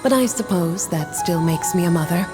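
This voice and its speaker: A woman's voice: fairly low pitch, lively intonation, normal speed, music in the background, lightly acted. A woman playing a weary, brooding witch mother in a grave video game monologue. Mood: grave, wry.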